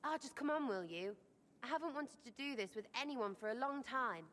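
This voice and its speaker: A woman's voice: sits high, lively intonation, brisk, fairly bright tone. An actress playing a brash, irreverent young female rogue in a video game, slangy, blunt and playful. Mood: playful, coaxing. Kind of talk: video game character dialogue.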